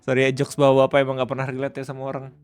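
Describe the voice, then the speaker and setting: A man's voice: mid-range voice, monotone, quick pace, clear, resonant tone, soft background music. A man hosting a livestream, reacting casually, joking and laughing at himself. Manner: jokey, laughs often, mutters to himself. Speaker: livestream host. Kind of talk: casual reaction stream chat.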